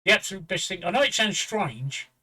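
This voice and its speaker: A man's voice: sits high, animated, a little fast, pushed and bright. A man in his fifties hosting a live stream, talking bluntly and rambling through personal stories with some swearing. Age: 50s. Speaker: streamer host. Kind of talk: casual chat.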